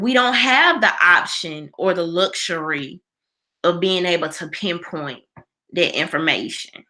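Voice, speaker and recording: Bright-voiced American woman, unhurried livestream host. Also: mid-range voice. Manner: colloquial, conversational, opinionated, emphatic. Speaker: live show host. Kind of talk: casual livestream chat, reading and answering viewer comments.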